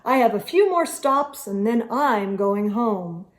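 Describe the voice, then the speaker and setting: A woman voicing a kindly, wise old Santa in a children's storybook, measured, earnest and reassuring. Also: on the high side, expressive.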